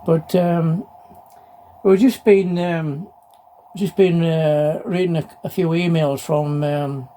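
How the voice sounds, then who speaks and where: A middle-aged or older man talking casually in an unscripted hobby vlog, halting and hesitant, often repeating his phrases. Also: fairly high pitch, fairly even intonation, dark tone. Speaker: amateur radio hobbyist presenting a video. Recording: clearly noisy background.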